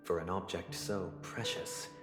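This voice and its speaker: An actor playing a composed, stern nobleman in a video game, speaking formally and with measured, polite condescension. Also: low voice, fairly even intonation. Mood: cool, polite. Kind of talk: scripted video game dialogue acting. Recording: echoey hall reverb under loud music.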